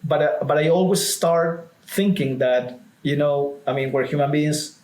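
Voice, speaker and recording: Spanish-accented man, halting, echoey room. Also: a little high, a little mellow. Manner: hesitant, many restarts and fillers. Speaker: startup founder. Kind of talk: video call interview. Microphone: video call webcam. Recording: echoey room on video call.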